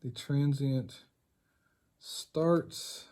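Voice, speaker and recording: A man giving a casual hands-on tutorial, thinking aloud in a rambling, halting way and muttering to himself. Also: medium pitch, fairly even intonation, noisy background. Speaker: hobbyist demonstrating tape editing.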